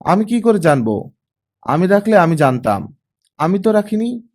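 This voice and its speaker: Audiobook role: indignant young teen girl, acted by a man, high-set for a male voice, swinging intonation, argumentative. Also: sing-song, measured pace, darkish tone, exaggerated voice. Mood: defensive. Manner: indignant, protesting, questioning back. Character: pouty, argumentative teenage girl, aged about fourteen. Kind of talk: audiobook character acting.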